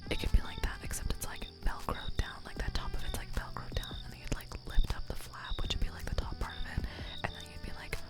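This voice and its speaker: A woman live streamer whispering softly to her viewers, playful and giggly, rambling with many fillers, close to the microphone. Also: very high-pitched, little pitch movement, fast. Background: music playing.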